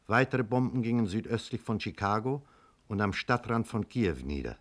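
An actor playing a measured scientist who narrates a past catastrophe in formal German radio drama style. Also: male voice, lowish voice, lively intonation, fairly bright tone. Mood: grave, sober. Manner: sober, formal, literary delivery.

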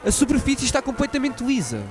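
A man's voice: extremely high pitch, wildly swooping pitch, quick pace, heavily acted. A man playing a fussy, pompous newspaper clerk in a student radio drama, with music behind.

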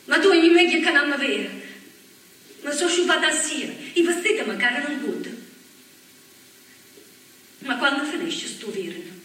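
An actress playing a young wife talking to her husband abroad in a rushed, tender, colloquial way, recorded on a stage. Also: high voice, lively intonation, pushed and bright, slight background noise. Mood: tender, anxious. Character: young emigrant's wife, plainspoken, homesick. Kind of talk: stage monologue for radio. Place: theatre stage. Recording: echoey stage from far off.

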